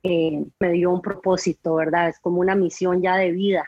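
A woman's voice: fairly low pitch, flat delivery, normal speed, darkish tone, slightly rough, no echo, highs cut off. A woman in her forties with a Costa Rican accent, a guest in a casual interview, talking in a chatty way and often ending sentences with a questioning "right?". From Costa Rica. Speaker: guest, home organizing consultant.

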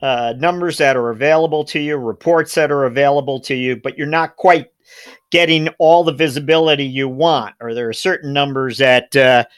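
A man's voice: medium pitch, moderate pace, smooth, no room sound, clean studio sound. A man hosting an interview, asking long questions with some hesitations. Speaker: podcast host.

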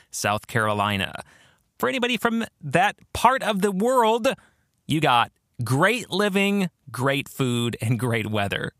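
A man hosts a podcast on his own with an upbeat, emphatic delivery. His voice is bright and lively in its rise and fall, recorded close and dry. He talks to listeners conversationally, though clearly from prepared notes. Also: high-pitched, no room sound. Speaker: podcast host; author and former pastor. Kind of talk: solo podcast monologue, conversational yet scripted.

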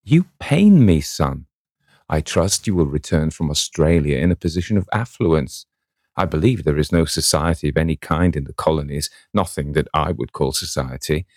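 A man's voice: a bass voice, darkish tone, no room sound, clean studio sound, heavily acted. A man voicing a theatrical, self-pitying middle-aged mother in a storybook reading, affected and sighing.

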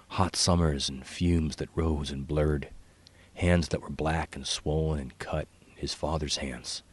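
A man narrating a literary short story in a measured, hushed manner that drops toward whispers. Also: a bass voice, husky. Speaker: author reading own short story.

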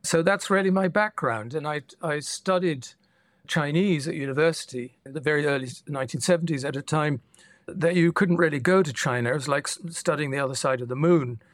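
An older man from the Netherlands, a guest historian, answering interview questions in a measured, reflective way. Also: on the high side, no echo, studio quality. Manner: measured, thoughtful, with frequent restarts.

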